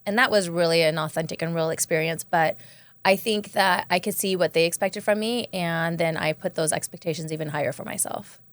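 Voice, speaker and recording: A woman's voice: lowish voice, fairly quick, no room sound. A woman co-hosting a podcast, asking questions and reflecting on her own life in casual conversation. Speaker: podcast co-host.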